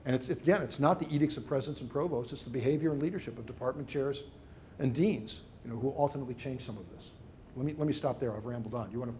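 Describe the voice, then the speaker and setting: A middle-aged man, an education researcher, answering interview questions in an expansive, discursive way with lively emphasis. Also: mid-range voice, quick pace, slight background noise.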